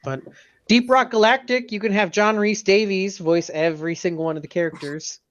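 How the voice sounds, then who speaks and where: A man's voice: high voice, fairly even intonation, a little fast, fairly bright tone. A man co-hosting a podcast in casual, bantering chat. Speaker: podcast co-host. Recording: dull, lo-fi voice track.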